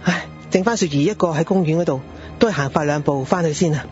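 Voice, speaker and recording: A man's voice: a little high, fast, lightly acted. A male actor plays a harried father in a radio drama, grumbling and sighing with a Hong Kong accent. The recording is dull and lo-fi, with faint music underneath. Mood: exasperated. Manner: sighing, exasperated. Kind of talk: Cantonese radio drama acting. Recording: dull lo-fi audio with background music.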